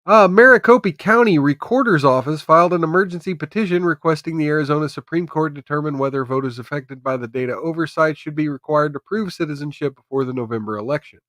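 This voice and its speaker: A man's voice: a little high, quick pace, few pauses, a bit bright, dry recording, studio quality. A man hosting a live stream with casual, irreverent news commentary, sometimes reading articles aloud in an informal way.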